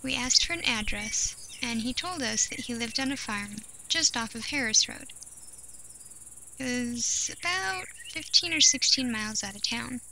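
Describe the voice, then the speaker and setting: A woman reading a scripted first-person horror story in a measured, deliberate way, pausing between phrases. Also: fairly high pitch, expressive, sharp tone. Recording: clear background noise of nature ambience.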